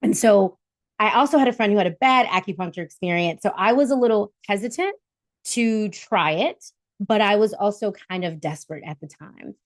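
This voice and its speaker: A woman's voice: mid-range voice, moderate pace, dry recording. A woman in her late forties talks through a personal story as she opens an interview, loose and chatty with plenty of ums. Her voice sits in a middle range and sounds close and dry, with no room around it. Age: 40s. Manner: chatty, warm storytelling with fillers. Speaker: host. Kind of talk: casual interview chat.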